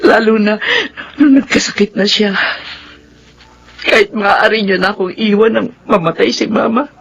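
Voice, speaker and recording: A woman's voice: mid-range voice, fairly even intonation, normal speed, vocal fry, natural voice. An actress playing a middle-aged, grieving widow and devoted mother in a radio drama, speaking in an emotional, wistful, heartfelt way. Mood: grieving, regretful. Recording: muffled radio drama with music underneath.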